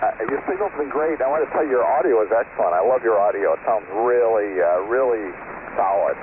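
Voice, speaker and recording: A man's voice: on the low side, fairly quick. Man on tinny, noisy ham radio link. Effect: two-way radio transmission. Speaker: amateur radio operator. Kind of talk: casual radio sign-off chat.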